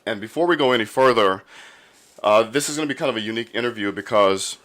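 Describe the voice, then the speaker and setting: A man's voice: lowish voice, fast, fairly bright tone. A man hosting a podcast in a casual, laid-back, informal interview style. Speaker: podcast host.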